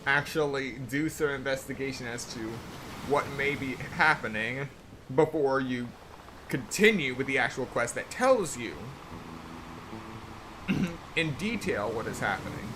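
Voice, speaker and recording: A man giving live game stream commentary in a deliberate, halting way. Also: medium pitch, expressive, background noise. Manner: deliberate, halting phrasing with frequent throat clearing. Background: faint game music.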